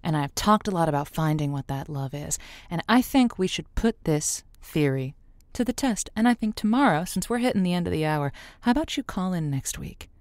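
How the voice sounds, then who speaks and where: Folksy, low-voiced woman radio host played by an actress. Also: expressive, brisk, a little mellow, no echo, studio quality, natural voice. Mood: earnest, encouraging. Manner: folksy, chatty, playful. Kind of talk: audio drama acting as late-night radio host.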